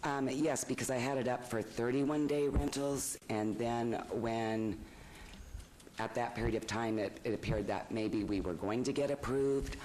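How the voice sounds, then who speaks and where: A man with a low, quiet voice speaking hesitantly with many ums as he appeals a fine at a city council hearing. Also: steady tone, a little slow, a little hiss or hum, low-quality recording. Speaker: property owner appealing a fine. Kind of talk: public testimony.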